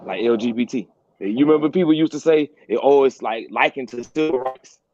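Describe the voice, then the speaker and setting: A man's voice: mid-range voice, brisk, darkish tone, no echo. A man co-hosting a casual talk show, earnest, repeating phrases to drive a point home. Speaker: podcast co-host. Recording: dull lo-fi sound with faint hiss.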